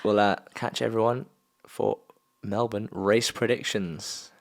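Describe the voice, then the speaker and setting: A man's voice: on the low side, fairly even intonation, slow, vocal fry. A young man with a southern English accent, co-hosting a casual sports podcast in a laid-back, understated way. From South of England. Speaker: podcast co-host.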